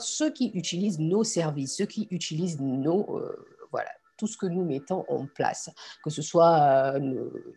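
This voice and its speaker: A woman's voice: sits low, vocal fry, darkish tone, breathy edge. A woman from Congo and France giving an informal online lesson as a coach, speaking directly and encouragingly to her students. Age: adult. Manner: encouraging, addresses the learner directly. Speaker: online course instructor and coach.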